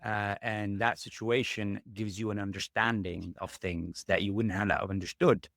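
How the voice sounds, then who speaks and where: A man's voice: lowish voice, fairly even intonation, normal speed, creaky, fairly bright tone, dry recording, studio quality. A man hosting an interview podcast, musing thoughtfully, often with hesitant restarts. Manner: thoughtful, hesitant with repeated starts. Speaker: podcast host. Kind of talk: conversational interview.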